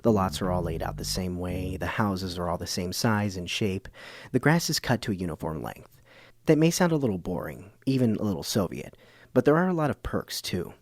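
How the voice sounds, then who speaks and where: An actor playing an earnest, somewhat puzzled public radio host, narrating and interviewing in an audio comedy. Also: male voice, low-pitched, animated, brisk. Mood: measured, wry.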